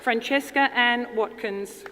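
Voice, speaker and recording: A woman's voice: a little high, slow. Woman announcing formally in a noisy echoing hall. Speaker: announcer reading graduates' names. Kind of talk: formal ceremony name announcements. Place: large ceremony hall. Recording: echoey hall with loud audience noise. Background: loud crowd noise.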